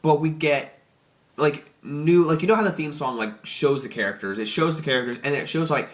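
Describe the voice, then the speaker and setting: A young man with a stuffy, slightly nasal voice from allergies, rambling excitedly through a casual review with many restarts. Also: on the high side, moderate pace. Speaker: YouTube reviewer.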